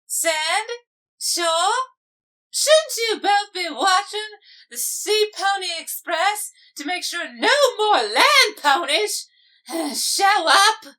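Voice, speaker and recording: A woman's voice: very high-pitched, lively intonation, slow pace, sharp tone, full character voice. A woman voicing an imperious, self-satisfied undersea ruler in a cartoon comic dub, grandiose and dismissive. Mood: suspicious. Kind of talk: animated comic dub villain acting.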